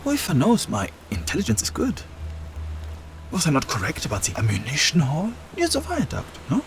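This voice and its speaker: An actor playing a sly, boastful German officer turned traitor in a war game, with a mocking, wheedling manner. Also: male voice, a little high, wildly swooping pitch, normal speed. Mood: smug. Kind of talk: cinematic game voice acting. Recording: noisy ambience behind the voice.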